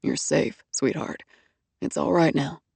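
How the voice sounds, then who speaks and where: A woman reading an audiobook, voicing a tall, calm man who rescues and soothes with wry tenderness. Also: deep voice, fairly quick, gravelly, no echo, pitched below the performer's own voice. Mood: soothing.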